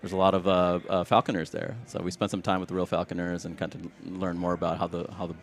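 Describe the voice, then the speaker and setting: A man from Sri Lanka, a game developer, walking through a demo of his game at a live event in a halting, filler-heavy way. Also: low voice, monotone. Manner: halting, many fillers and restarts. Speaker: game developer, studio founder. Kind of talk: casual demo walkthrough.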